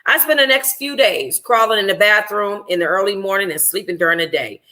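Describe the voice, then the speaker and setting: A woman reading a first-person novel aloud informally as a book club host, in an expressive, conversational, slangy way. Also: medium pitch, a little fast.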